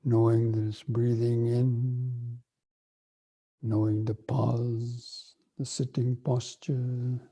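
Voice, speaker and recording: A man guiding a breathing meditation, speaking softly and evenly with long pauses between phrases. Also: medium pitch. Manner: slow, soft and even, with long pauses. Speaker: meditation teacher.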